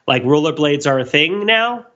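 Animated, bright-voiced man in casual chat. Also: fairly high pitch, normal speed, muffled recording. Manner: rambling, animated storyteller. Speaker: podcast host. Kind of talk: casual chat, humorous pop-culture commentary.

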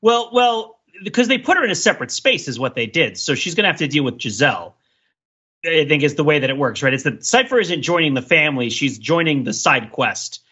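A man co-hosting a casual film discussion podcast, thinking aloud in a halting, husky voice. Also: a little high, animated, pushed and bright. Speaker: podcast co-host. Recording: slightly dull, lo-fi audio.

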